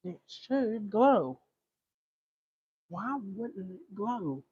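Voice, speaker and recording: A man with a fairly high voice talks very slowly, as if thinking out loud. He speaks casually in short, muttered fragments, like a livestream host narrating a hands-on repair to himself. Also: big pitch swings, lo-fi sound, slight background noise. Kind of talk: casual live repair commentary, thinking aloud.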